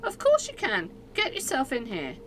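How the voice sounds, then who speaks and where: A woman's voice: sits high, wildly swooping pitch, moderate pace. A woman playing a cheery, matter-of-fact canteen cook in an amateur radio play. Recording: faintly noisy amateur recording.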